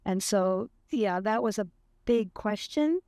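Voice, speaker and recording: A woman's voice: mid-range voice, animated, warm tone, no echo, studio quality. A woman in her seventies from California, earnestly recalling her upbringing in an interview, with many fillers. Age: 70s. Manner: slow, earnest, with fillers and light laughs. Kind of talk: reflective interview.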